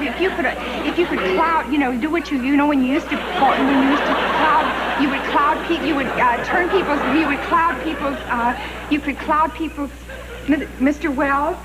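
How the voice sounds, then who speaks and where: A woman in gushing conversation, halting and flustered, repeatedly starting and abandoning her sentences, on an old television clip. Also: high-pitched, heavy background noise.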